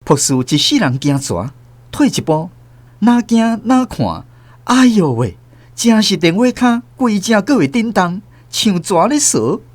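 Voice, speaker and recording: Animated, dry-recorded storyteller. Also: medium pitch, measured pace, dry recording. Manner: expressive storyteller. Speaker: radio drama narrator. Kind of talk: dramatic story narration.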